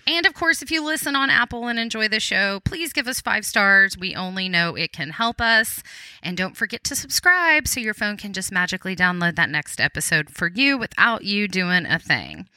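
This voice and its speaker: Bright, chatty Texan woman podcast host. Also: mid-range voice, expressive, normal speed, no echo. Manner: chatty, upbeat, frequent laughs and fillers. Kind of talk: casual podcast chat.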